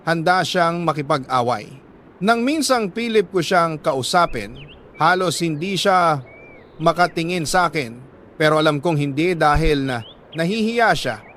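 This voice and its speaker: A man with a Filipino accent reads a scripted letter as an emotive, deliberate storyteller, leaning on repeated phrases for emphasis. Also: on the high side, a bit bright, slight background noise. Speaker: narrator reading a listener's letter. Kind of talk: dramatic scripted storytelling narration.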